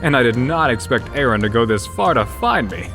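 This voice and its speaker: A man's voice: mid-range voice, very animated, a little fast, fairly bright tone, faint music behind. A man playing a cocky, carefree elf rogue in a fantasy audio drama, talking in flippant, laid-back slang.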